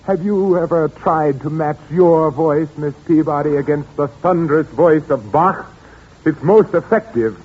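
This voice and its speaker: An actor playing a murderous male organist in an old radio drama, speaking in a soft, coldly courteous way. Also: on the high side, a little slow, slightly rough. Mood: sinister, silky. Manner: soft, silky and sinister, then panicked pleading. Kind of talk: 1940s radio acting. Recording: dull old radio recording with faint hiss.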